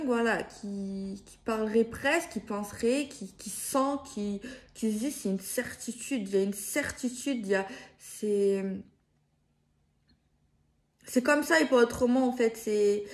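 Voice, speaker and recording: Slow-paced, hesitant woman. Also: mid-range voice. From France. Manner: hesitant, circling, repeats and rephrases herself. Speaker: card reader giving guidance to viewers. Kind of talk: improvised spiritual guidance, casual chat to viewers.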